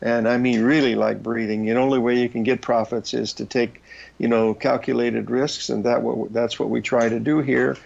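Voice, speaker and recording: A gravelly older man hosts a trading show from a small room, speaking through a headset in a casual, folksy manner. The sound is dull and lo-fi, like a remote call. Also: medium pitch, steady tone, moderate pace, a little mellow. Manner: folksy, chatty, calls listeners folks. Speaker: show host. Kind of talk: casual market chart talk.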